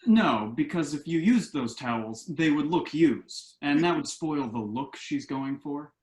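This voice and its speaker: An actor playing an earnest, anxious adult son in a conversational comedy play reading, explaining things in an echoey room. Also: male voice, fairly high pitch, expressive, unhurried, darkish tone. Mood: earnest, insistent. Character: earnest adult son, anxious and quirky about house rules.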